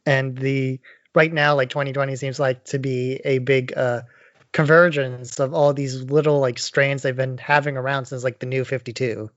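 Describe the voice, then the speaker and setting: A man's voice: medium pitch, flat delivery, normal speed, no room sound. A dry, close-sounding but dull recording of a man co-hosting a podcast. He chats casually and offers opinions in a loose way, with plenty of filler words. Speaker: podcast co-host.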